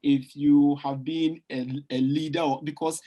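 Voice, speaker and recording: A man's voice: fairly high pitch, level tone, a little slow, mellow, dull audio. A man speaking as a panelist on an online call, emphatic and repeating phrases to press his point.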